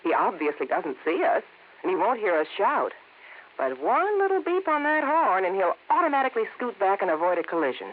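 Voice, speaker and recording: An actress playing an earnest, persuasive wife in a radio safety skit, with a coaxing delivery. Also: female voice, medium pitch, very animated, a little fast, lightly acted. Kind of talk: 1950s radio public service skit. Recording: tinny old radio broadcast with hiss.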